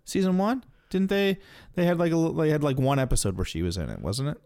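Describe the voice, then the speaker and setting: Animated man with swooping pitch, podcast co-host. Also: a little fast. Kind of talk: casual opinionated chat.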